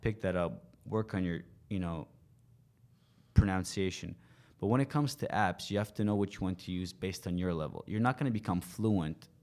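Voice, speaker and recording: A man's voice: low-pitched, normal speed, darkish tone. A man in his twenties, a language coach, explaining his advice in a casual podcast interview, with many "you know" fillers. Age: 20s.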